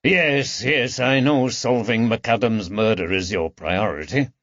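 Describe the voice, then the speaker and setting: A man voicing an angry, commanding elderly gentleman, the indignant master of a household, in an audiobook. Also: fairly low pitch, a little slow, dry recording, lo-fi sound. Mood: stern anger. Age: older. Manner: indignant, snapping, forceful. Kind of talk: audiobook character dialogue.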